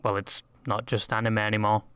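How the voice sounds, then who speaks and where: A man's voice: on the low side, flat delivery, brisk, fairly bright tone, clear, resonant tone, faint music behind. A man reading scripted narration for a video essay.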